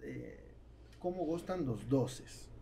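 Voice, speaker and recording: A man's voice: mid-range voice, expressive, slow pace, gravelly, very noisy. A man in his forties, a native Spanish speaker with a South American accent, giving hesitant conversational answers as an interview guest. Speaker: guest, entrepreneur. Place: small foam-treated podcast studio. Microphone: studio mic on boom arm.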